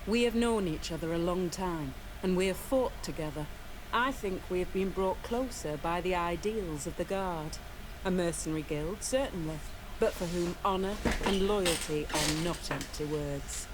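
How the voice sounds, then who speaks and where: An actress voicing a principled, weary woman commander of a mercenary guard in a video game, speaking earnestly in measured, formal phrases. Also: on the low side, background noise, lightly acted. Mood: earnest, warm.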